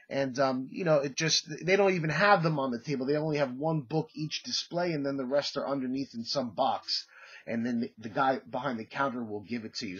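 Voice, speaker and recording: Casting: stuffy-nosed guy, husky voice, rambling casual collector vlog, dull lo-fi sound. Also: medium pitch. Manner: rambling, many fillers and restarts. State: congested, under the weather. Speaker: comic collecting vlogger. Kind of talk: casual show-and-tell haul video.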